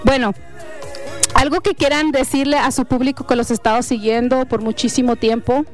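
Chatty bilingual woman host, higher voice. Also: steady tone, moderate pace, soft background music. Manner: chatty and teasing, laughs often. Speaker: interview host. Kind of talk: casual interview, mixing Spanish and English.

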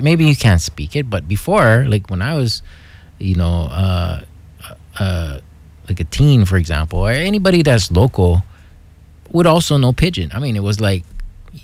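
A man's voice: fairly low pitch, very animated, unhurried, a little mellow, husky. A man from Hawaii, thinking aloud in a casual explanatory monologue, hesitant, with many fillers and restarts.

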